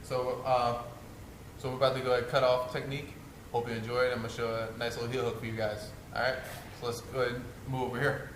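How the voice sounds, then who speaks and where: A man's voice: medium pitch, level tone, quick pace, a bit bright. Laid-back man in an echoey room. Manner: laid-back, explains with fillers like "you know". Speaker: podcast host and jiu-jitsu instructor. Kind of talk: casual chat and technique demonstration. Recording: echoey room with background noise.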